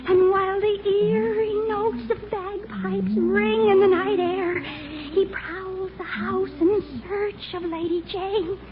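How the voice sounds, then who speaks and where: An actress playing an excitable young neighbour girl who tells spooky tales melodramatically in a 1940s radio sitcom. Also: very high voice, slow, hardly any pauses, heavily acted. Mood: spooky relish. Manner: melodramatic storytelling. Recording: muffled, noisy old radio recording.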